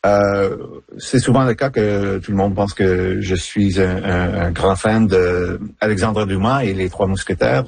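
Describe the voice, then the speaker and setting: A middle-aged man, a novelist whose first language is English, speaking French haltingly in a radio interview with many fillers and restarts. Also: sits low, steady tone, gravelly, a little mellow, husky, no echo. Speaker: novelist guest.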